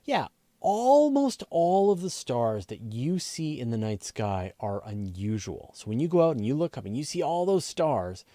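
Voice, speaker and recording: A man's voice: medium pitch, sing-song, moderate pace, warm tone, no room sound, studio quality. A man hosting a live question and answer show, explaining things in a casual, conversational way and thinking aloud as he goes. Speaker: host of a space and astronomy question show.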